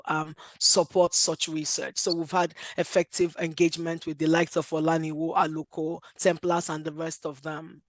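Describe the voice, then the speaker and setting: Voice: mid-range voice, no echo. A speaker with a Nigerian accent, a lawyer giving an earnest talk at a workshop with frequent "um" fillers and restarts. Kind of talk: conference speech.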